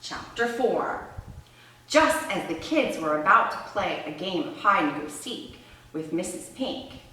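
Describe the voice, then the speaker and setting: Female read-aloud presenter, low register, expressive and chatty teaching delivery, heavily roomy sound. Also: a little slow. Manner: expressive, with conversational asides and questions to listeners. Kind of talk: read-aloud storytelling and instructional explanation. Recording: roomy echo, distant microphone.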